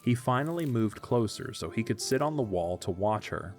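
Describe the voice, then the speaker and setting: A man's voice: mid-range voice, expressive, moderate pace, soft background music. A man narrating a scripted story as the narrator of an audio drama.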